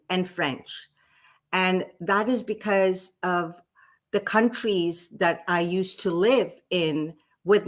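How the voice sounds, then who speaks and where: A woman's voice: fairly low pitch, fairly bright tone. A woman in her fifties from Pakistan, a French teacher telling her life story in an interview, with frequent restarts. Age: 50s. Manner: slow, reflective storytelling with repeated restarts. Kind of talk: casual interview talk.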